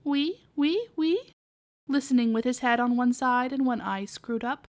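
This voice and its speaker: High-pitched woman reading a children's storybook. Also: fairly even intonation, normal speed. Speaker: storytime podcast narrator. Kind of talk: children's storybook read-aloud.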